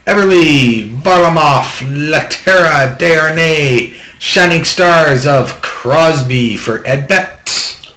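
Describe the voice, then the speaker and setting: A man's voice: a little high, bright, forceful tone. A man hosting a card break, reading off names as he opens packs, with offhand jokes between. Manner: quick list-reading with offhand jokes and complaints. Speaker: box break host. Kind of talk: reading out trading cards while opening packs. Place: small room at home, table. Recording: echoey room, faint background noise.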